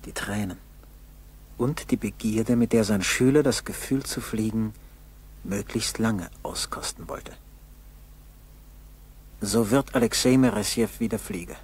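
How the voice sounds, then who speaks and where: A man narrating a 1960s German school radio play in a solemn, measured, scripted style. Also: fairly low pitch, darkish tone, breathy edge. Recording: 1960s radio tape with background noise.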